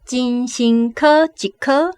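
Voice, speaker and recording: A woman playing a frank, composed young woman with a Taiwanese accent, admonishing deliberately in a radio drama. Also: high-pitched, darkish tone, clear, resonant tone, no echo. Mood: firm.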